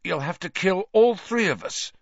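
A man's voice: high voice, lively intonation, moderate pace, creaky, no room sound, muffled recording, voice pushed up, heavily acted. A man voicing an injured young teenage boy, loyal and scared but brave, with a strained, urgent delivery in a storybook. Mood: strained defiance.

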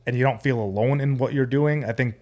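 A man's voice: mid-range voice, brisk, dark tone. An American man speaking as a podcast guest in an earnest, explanatory, conversational way. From United States and Jordan.

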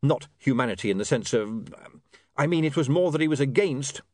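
A man as a flustered young newspaperman, pitched up. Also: expressive, a little fast, dry recording, clean studio sound, close to an everyday voice. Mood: flustered, defensive. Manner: flustered, stammering, self-justifying. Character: awkward, defensive, well-meaning young newspaperman. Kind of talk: audiobook character voice.

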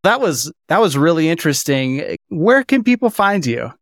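A man's voice: on the high side, very animated, moderate pace, dry recording, clean studio sound. A man hosting an interview podcast, asking questions and answering with short, affirming remarks. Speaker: podcast host.

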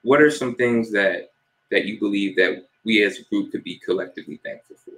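Young jersey guy cohosting a podcast, lowish voice, chill and easygoing. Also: fairly quick, poor audio. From New Jersey. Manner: relaxed, hosting with shout-outs and questions. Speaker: podcast co-host. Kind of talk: casual podcast chat.

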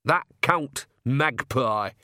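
A man voicing a blunt old village matriarch, brisk and commanding, in an audiobook. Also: a little high, animated, pushed and bright, no room sound, pitched above the performer's own voice. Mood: bossy.

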